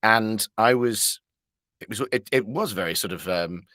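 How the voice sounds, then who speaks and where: Male interview guest, slightly low voice, dry close sound, hesitant and jovial, casual storytelling. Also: unhurried, pushed and bright, dry recording.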